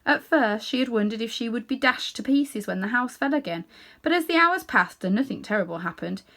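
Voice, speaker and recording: A woman reading a children's story aloud in an animated way, with dramatic pauses and hushed little asides. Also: on the high side. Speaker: storybook reader.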